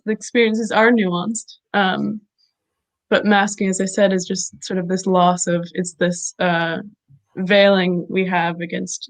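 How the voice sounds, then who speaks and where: A woman interviews her guest in a flat, even voice that barely rises or falls. She feels her way through each question with frequent pauses, fillers and restarts. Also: medium pitch, rough recording. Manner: halting, many fillers and restarts. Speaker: interview host. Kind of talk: conversational interview.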